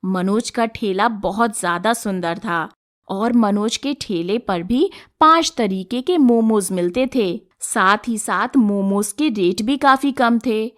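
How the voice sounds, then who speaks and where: A woman's voice: mid-range voice, slow. A woman narrating a scripted moral story as a storyteller.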